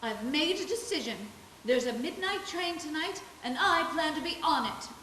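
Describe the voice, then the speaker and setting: A woman's voice: high voice, animated, normal speed, bright, forceful tone. A woman playing a wistful, determined small-town young woman in a live radio play, on an echoey stage. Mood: wry, resolved. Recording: echoey stage, distant mic, faint hiss.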